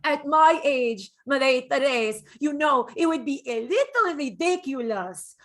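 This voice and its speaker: A woman's voice: high voice, animated, a little slow, fairly bright tone. A woman voicing a self-assured older male painter and lover, emphatically, within a theatrical monologue. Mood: dismissive.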